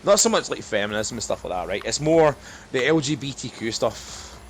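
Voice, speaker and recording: A man's voice: mid-range voice, expressive, fairly quick, a little hiss or hum. A man in his thirties co-hosting a casual podcast, rambling through jokey, opinionated, sweary banter. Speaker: podcast co-host.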